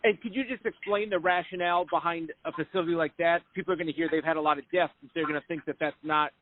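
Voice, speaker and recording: Higher-pitched man reporter on the phone. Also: fairly even intonation, fast talker. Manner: polite, clarifying. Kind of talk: press call questioning. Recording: narrow, phone-like call audio.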